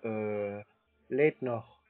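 A man in a phone conversation, answering in a terse, stubborn, deadpan way. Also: on the low side, drawn-out words. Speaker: scam-baiting call recipient. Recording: narrow-band phone-like sound.

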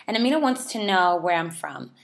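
A woman's voice: fairly low pitch, expressive, fairly quick, vocal fry. Chatty young woman from New York. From New York City. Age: 20s. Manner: friendly, chatty, laughs often. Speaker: model and charity ambassador. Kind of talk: casual video answering viewer questions.

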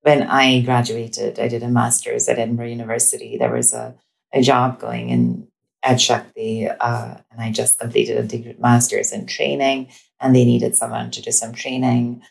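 Casting: woman from India, very deep gravelly voice, hesitant guest chatting over a video call. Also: flat delivery, moderate pace. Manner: hesitant, fillers, laughs easily. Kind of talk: casual interview chat.

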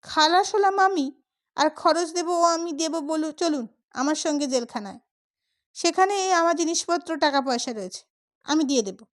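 A woman's voice: high voice, animated, moderate pace. A woman storyteller voicing a cranky, bossy elder brother who scolds and blusters, in an audio short story. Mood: gruff, indignant.

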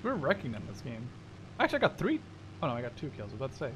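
A young man chatting casually and excitably with a teammate as he plays a game, swearing freely. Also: medium pitch, rapid-fire, a little hiss or hum, poor audio. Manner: excitable, profane banter. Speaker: gamer playing in a squad. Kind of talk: casual gaming chat.

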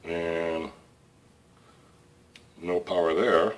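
Relaxed man talking through a repair. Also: deep voice, extremely slow. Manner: relaxed, thinking aloud with fillers. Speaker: DIY repair channel host. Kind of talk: casual hands-on repair tutorial. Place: indoor workshop. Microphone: camera overhead of workbench, voice off-screen.

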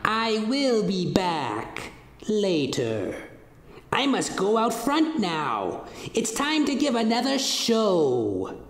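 A man's voice: sits high, huge pitch swings, slow, fairly bright tone, voice pushed up, exaggerated voice. A man voicing a fame-hungry, falsely soothing mad scientist in a theatrical horror audio play. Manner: theatrical, patronising. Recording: echoey reverberant room, music bed.

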